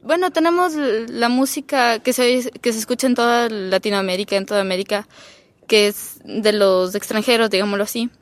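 A teenage exchange student from Ecuador with an Ecuadorian accent, answering thoughtfully and pausing with fillers as she searches for words. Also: on the high side, no echo. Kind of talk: casual chat.

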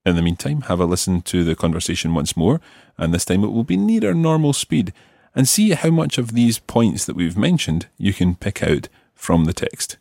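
Man teaching a lesson, low voice, lively rising and falling tone, patient, encouraging, dry studio sound. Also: a little slow, vocal fry, dry recording. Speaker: teacher and podcast host. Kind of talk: explaining grammar in a language lesson.

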